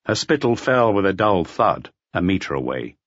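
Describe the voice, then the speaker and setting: A man reading a mystery novel as an audiobook narrator in an expressive, scripted style. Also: low voice, moderate pace, no room sound. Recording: slightly dull, lo-fi audio.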